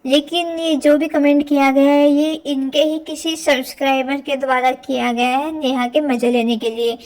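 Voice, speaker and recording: A woman's voice: high voice, little pitch movement, normal speed, darkish tone. A woman vlogger giving informal gossip commentary in an opinionated, insistent, questioning manner. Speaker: reaction vlogger.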